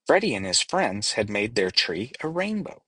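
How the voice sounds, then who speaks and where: A man reads a story aloud with lively intonation. His voice sits a little low and has a husky grain, recorded dry and close. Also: moderate pace, no room sound. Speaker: storybook reader. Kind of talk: scripted storybook narration.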